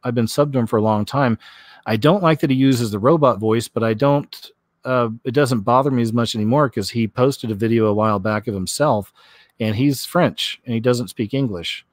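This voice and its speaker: A man with a mid to slightly low voice hosts a casual online commentary show. He talks in a folksy, rambling way, often repeating himself as he reacts to what he is presenting. The sound is dry, with very little room echo. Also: moderate pace. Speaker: host of a news commentary vlog. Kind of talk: casual chatty commentary on clips and read-out news.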